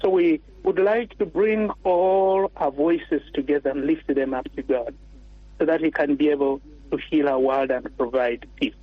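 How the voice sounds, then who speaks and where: Earnest Ugandan priest on radio. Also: male voice, a little high, dry recording. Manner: earnest, warm and heartfelt, with pauses and restarts. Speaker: priest guest. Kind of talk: radio interview. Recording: dull, dry radio studio sound.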